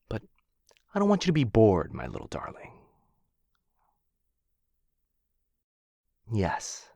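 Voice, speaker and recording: A man's voice: lowish voice, no echo, highs cut off, heavily acted. A man voicing a possessive, doting dragon captor in a boyfriend ASMR fantasy roleplay, theatrical and coaxing, with hesitant musing. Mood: musing, awkward, then sleepy, doting.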